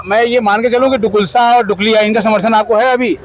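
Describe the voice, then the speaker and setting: A reporter asking direct, probing questions at an outdoor protest. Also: male voice, high voice, fairly even intonation, quick pace, a faint crowd. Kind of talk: field interview questions. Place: outdoor protest site by a street.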